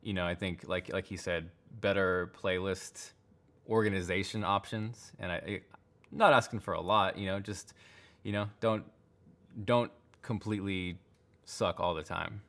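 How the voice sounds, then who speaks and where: A man's voice: low-pitched, steady tone, unhurried, a bit bright. A man appearing as a podcast guest, chatting in a laid-back, slangy, casual manner. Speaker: podcast guest, tech YouTuber. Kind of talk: casual chat.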